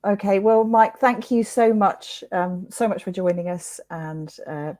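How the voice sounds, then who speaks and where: A woman's voice: mid-range voice, steady tone, a little slow, warm tone. A woman hosting a conversational interview in an earnest, encouraging way. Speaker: interview host.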